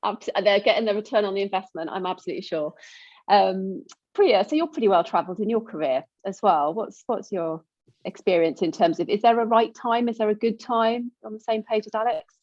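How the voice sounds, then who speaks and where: A woman chairing a panel discussion, brisk and upbeat as she puts questions to the speakers. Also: medium pitch, a little mellow, muffled recording. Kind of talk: moderating a panel.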